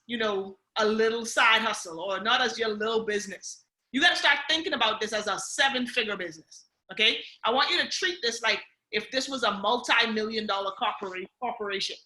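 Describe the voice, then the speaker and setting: A woman's voice: fairly high pitch, bright, forceful tone, reverberant, low-quality recording. A woman with a Bahamian accent leading a team training call, upbeat and coaching, often checking in with "okay?" and "guys.". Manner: upbeat, coaching, repeats key points, frequent "okay?" and "guys".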